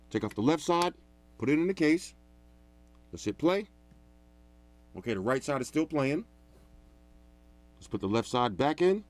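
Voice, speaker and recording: A man giving a casual, animated product review in a loud, talkative voice. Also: mid-range voice. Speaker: tech reviewer.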